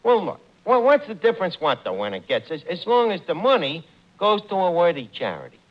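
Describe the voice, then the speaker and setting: A brash, conniving New hustler in an old radio comedy. His high voice swings widely as he blusters through mangled grammar. The sound is muffled and noisy, like a worn broadcast. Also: wildly swooping pitch, fairly quick. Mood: scheming, coaxing. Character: Archie, brash conniving lowbrow male raffle organizer, fast excuses. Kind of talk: 1940s radio comedy acting.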